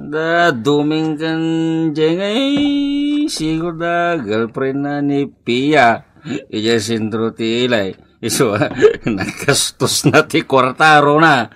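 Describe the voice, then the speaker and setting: An actor playing a jovial, gossipy drinking buddy with a Filipino accent and a drawling manner in a radio comedy drama. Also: male voice, a little high, expressive, takes it slowly, fairly bright tone.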